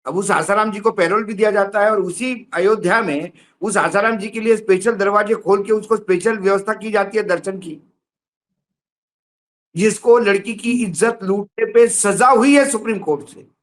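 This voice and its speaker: High-voiced man giving indignant commentary. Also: moderate pace, a bit bright. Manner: indignant, emphatic, rhetorical questions. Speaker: political commentator on news show. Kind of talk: opinionated news commentary.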